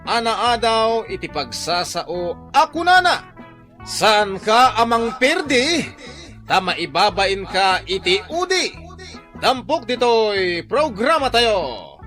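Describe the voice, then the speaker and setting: A man hosting a radio drama program, delivering an animated introduction. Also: high voice, takes it slowly, soft background music. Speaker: program host announcer.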